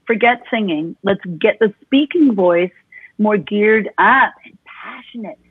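A woman's voice: medium pitch, animated, measured pace, breathy edge, no room sound. A woman vocal coach as an interview guest, explaining expressively and demonstrating voices, talking with her hands. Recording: tinny phone-like dry sound.

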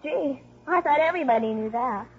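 A woman's voice: sits high, animated, fairly quick, husky, exaggerated voice. An actress playing a streetwise, cheeky schoolgirl with slangy, matter-of-fact talk in a 1950s radio drama. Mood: cocky. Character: streetwise, precocious schoolgirl, brash and unimpressed by grown-ups. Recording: muffled 1950s radio broadcast.

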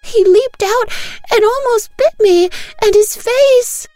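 A terrified little girl, wailing high. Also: lively intonation, unhurried, darkish tone, faint music behind. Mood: frightened.

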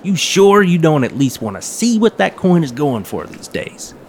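A man's voice: on the high side, sing-song, normal speed. A man acting in an audio drama as an eager, chummy metal detector club leader who talks in mock-official radio jargon. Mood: nervous, persuading. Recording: clear background noise.

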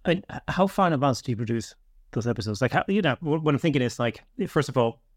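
A man's voice: medium pitch, animated, quick pace, no echo, studio quality. A man hosting a casual interview, stammering a little as he puts informal questions. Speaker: podcast host, interviewer.

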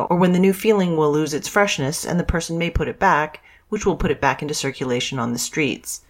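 A news reader narrating scripted business stories in a measured, read-aloud style. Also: medium pitch.

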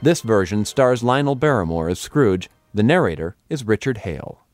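A man's voice: on the low side, animated, moderate pace, soft background music. A man hosting a radio program with scripted narration. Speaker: radio host.

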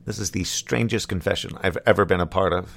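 A man's voice: low voice, brisk, creaky, fairly bright tone. A man in an audio drama playing a wary, hesitant friend with a dry wit. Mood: dry, bemused. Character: wary, wry friend hearing a confession. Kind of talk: naturalistic audio drama acting.

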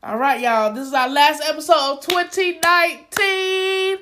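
A woman's voice: high-pitched, steady tone, measured pace, background noise. A woman co-hosting a faith podcast, exhorting listeners and repeating phrases for emphasis in a preaching style. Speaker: podcast co-host.

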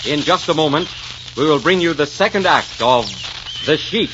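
A man's voice: fairly high pitch, expressive, normal speed. A man announcing in polished, persuasive 1940s radio style, reading a scripted commercial with emphatic phrasing. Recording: noisy, muffled 1940s radio recording.

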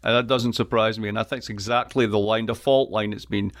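A man's voice: lowish voice, steady tone, fairly quick. A middle-aged man from Scotland with an east coast Scottish accent, talking as a guest economist in a witty, emphatic way, speaking from a home music studio. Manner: witty, emphatic, restarts often. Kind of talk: animated interview conversation.

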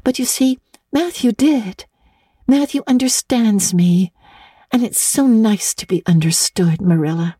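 A woman voicing an earnest, talkative, excitable young orphan girl in a storybook reading, with gushing, dramatic delivery. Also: on the high side, measured pace, slightly rough, dry recording, pitched above the performer's own voice. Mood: grateful. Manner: gushing, dramatic, breathless emphasis.

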